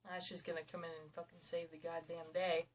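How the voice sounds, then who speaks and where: A woman's voice: fairly low pitch, level tone, quick pace. A woman bantering casually as a co-host in an irreverent, mocking way, on a noisy home recording.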